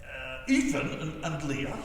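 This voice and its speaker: A man playing a jolly, doting old Santa Claus for children, chatting in a hesitant, grandfatherly way with an excitable voice. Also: on the high side, unhurried, distant mic. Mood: musing, chatty. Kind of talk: children's story-time performance. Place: indoors on a video call. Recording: echoey roomy video call, faint noise.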